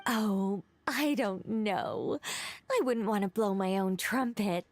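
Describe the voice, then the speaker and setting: A woman voicing a modest, polite young lady sculptor in a video game, speaking with gentle hesitation. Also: mid-range voice, expressive, slow, dry recording. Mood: modest, coy.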